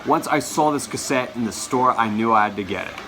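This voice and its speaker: A man talks casually to his viewers at an ordinary pitch. He rambles, trips over his words and stops to correct himself before carrying on. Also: fairly quick, poor audio. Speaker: vlogger showing his collection. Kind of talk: casual chat to camera.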